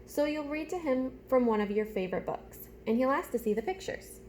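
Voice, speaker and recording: A woman's voice: sits high, lively intonation, a little fast, a little mellow, slight background noise. A woman teacher reading a storybook aloud to young children, chatting to them directly with simple questions and step-by-step prompts.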